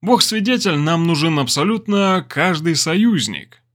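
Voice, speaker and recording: A man's voice: a little high, lively intonation, a little slow, fairly bright tone, pitched above the performer's own voice. A man voicing a weary, earnest businessman and determined conspirator in an audiobook, with a grave, emphatic manner. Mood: earnest, concerned.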